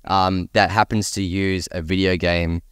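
A man with a fairly deep, resonant voice chats as a podcast panelist. He is enthusiastic and emphatic in an informal, conversational way. The sound is dry and free of room echo. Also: little pitch movement, a little slow, fairly bright tone, clear, resonant tone. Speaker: podcast panelist discussing films and games. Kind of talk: casual panel chat.

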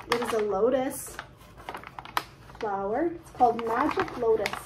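A woman, chatting excitedly and quickly about her craft projects from her living room, filled with "um"s and little laughs. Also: fairly high pitch, very noisy. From Canada. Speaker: cross-stitch vlogger. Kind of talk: casual show-and-tell chat. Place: living room at home, indoors. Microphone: webcam at desk distance.

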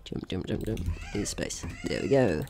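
A man's voice: on the low side, huge pitch swings, moderate pace, warm tone, a little hiss or hum. A young man giving casual let's play commentary as he plays a game. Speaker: gaming video host.

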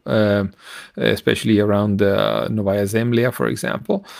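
A middle-aged man explaining science informally as a podcast co-host, halting as he searches for words. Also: lowish voice, fairly even intonation, vocal fry, no room sound, studio quality.

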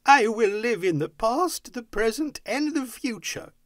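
Overjoyed old miser, storybook character, high swooping pitch, effusive, whooping, giddy. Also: male voice, wildly swooping pitch, normal speed, breathy edge, no echo, clean studio sound, full character voice. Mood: fervent gratitude.

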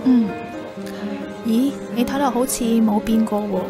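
A friendly, chatty young woman played by an actress with a slightly high voice and a coy manner speaks Hong Kong Cantonese in a radio drama, with music clearly behind her. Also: steady tone, measured pace, natural voice. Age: young adult. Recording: music bed underneath.

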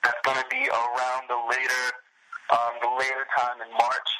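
A young man in his twenties whose first language is French, answering interview questions in an earnest, measured way. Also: medium pitch, monotone, sharp tone, poor audio. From Haitian family. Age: 20s. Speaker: football prospect guest.

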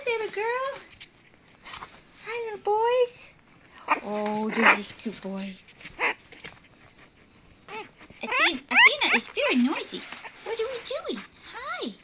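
A woman coos slowly at a bed of puppies in high, sing-song baby talk that often drops to a whisper. She is filmed indoors on a handheld phone held close. Plenty of noise runs under her voice. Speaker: dog breeder.

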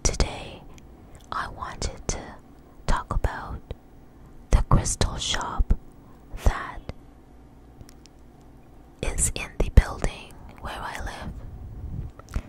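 A woman telling a personal story in a close, breathy ASMR whisper, with halting, deliberate phrasing. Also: rough recording. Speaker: ASMR channel host. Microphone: close microphone.

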